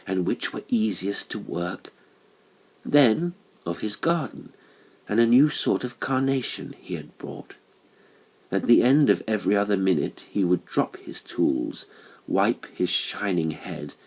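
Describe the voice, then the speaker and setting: Deep, slow, husky male narrator. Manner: measured, literary storytelling. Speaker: audiobook narrator, horror podcast host. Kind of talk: scripted dramatic narration of a classic horror story.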